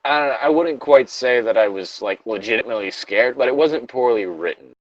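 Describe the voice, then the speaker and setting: A man's voice: mid-range voice, expressive, fairly quick, no room sound, highs cut off. A man co-hosting a story-reading show, switching between informal, sweary banter and reading horror stories aloud. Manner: informal, profane.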